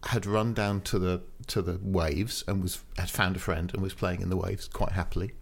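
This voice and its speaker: A man's voice: deep voice, fairly even intonation, moderate pace, vocal fry. A man co-hosting a comic podcast, telling stories in a chatty, laughing way. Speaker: podcast host.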